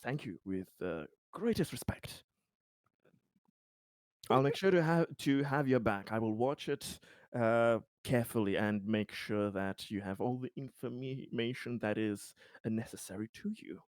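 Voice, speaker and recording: A man playing an eager, courteous male sci-fi colonist who flatters a leader in a formal, repetitive way in a tabletop game. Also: medium pitch, measured pace, no room sound, studio quality, natural voice. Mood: deferential. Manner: deferential, formal, repeating himself.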